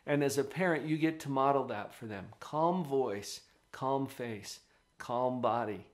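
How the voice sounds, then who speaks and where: A man giving parenting advice straight to camera in an office, with a conversational, emphatic and animated delivery. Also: medium pitch, measured pace. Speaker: parenting coach.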